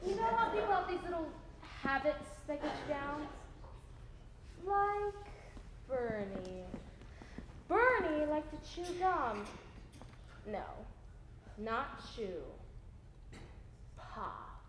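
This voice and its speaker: A woman's voice: high voice, animated, slow, long pauses, in a large hall, background noise. A young woman performing a sardonic stage monologue in a school reader's theater piece, recorded from far back in a large auditorium. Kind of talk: stage monologue in a musical scene. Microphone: far room mic.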